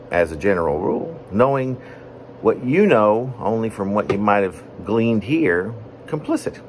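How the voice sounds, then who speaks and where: A man reading his own poetry as a rambling, wordy monologue, deliberate and pausing mid-phrase. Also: on the low side, slight background noise. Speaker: poet reading own work.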